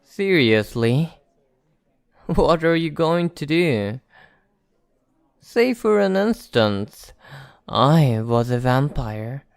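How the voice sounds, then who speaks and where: A man playing a sly, teasing vampire in an ASMR roleplay, speaking in a deliberate, mocking and condescending way. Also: a little high, big pitch swings, creaky, clear, resonant tone, no echo, clean studio sound, full character voice. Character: sly, teasing male vampire posing as small-town informant. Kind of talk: ASMR roleplay monologue to the listener.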